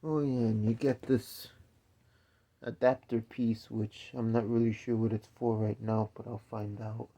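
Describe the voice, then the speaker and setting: A man's voice: on the low side, level tone, measured pace, mellow. A man casually walking through an unboxing of a speaker stand, explaining each part as he goes and correcting himself along the way. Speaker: DJ reviewing gear.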